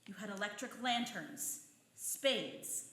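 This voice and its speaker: A woman's voice: medium pitch, animated, takes it slowly, sharp tone. A woman playing a stern, hard-nosed police detective interrogating a suspect in a radio play. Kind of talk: old-time radio crime acting. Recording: echoey live stage.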